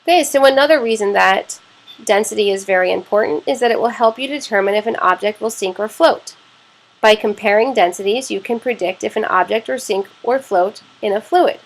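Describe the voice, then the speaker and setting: A woman's voice: mid-range voice, rough recording. A woman science teacher narrating a lesson step by step, explaining plainly and often checking in with "okay".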